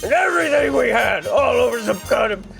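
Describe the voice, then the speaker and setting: A man voicing a blunt, hard-drinking, divorced old barkeep type with a gravelly voice in a comedic anime parody dub. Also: very high-pitched, fairly quick, music in the background, heavily acted. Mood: bitter, maudlin. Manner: blunt, rambling, drunken deadpan.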